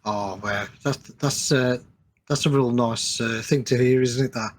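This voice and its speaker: A man hosting a casual podcast chat, speaking in a halting way and repeating words as he frames questions. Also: mid-range voice. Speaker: podcast host.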